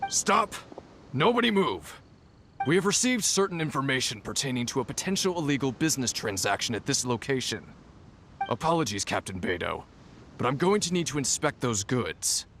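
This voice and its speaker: An actor playing an officious city guard officer in a video game, formal and official in manner. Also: male voice, mid-range voice, big pitch swings, normal speed, fairly bright tone, slight background noise. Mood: stern, commanding. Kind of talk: voiced video game dialogue.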